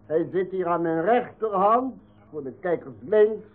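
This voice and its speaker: Pompous mayor, radio play, slow speechmaking, ceremonious, tinny narrow-band recording. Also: male voice, sits high, animated. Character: pompous village mayor giving a festive speech.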